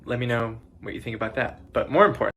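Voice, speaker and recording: A man's voice: medium pitch, fast, a bit bright. Male, mid-range voice, casual greeting to camera, faint noise behind. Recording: audible background noise.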